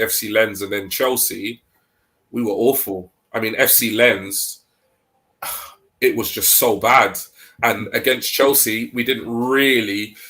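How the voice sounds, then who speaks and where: A man with a stuffy head cold talking casually about football on a podcast, hesitant with many pauses. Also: on the low side, a bit bright. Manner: hesitant, many ums, thinking aloud. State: congested with a cold. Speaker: podcast co-host.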